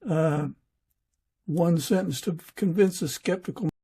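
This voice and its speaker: A man hosting a podcast in a casual interview style, talking haltingly with pauses mid-sentence. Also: a little high, warm tone, husky. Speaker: podcast host.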